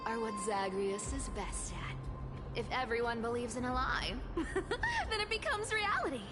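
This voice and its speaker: An actress voicing a playful, mischievous female trickster in a game, talking in a teasing way with sudden jumps in pitch. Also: very animated, a little slow, music in the background. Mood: sly.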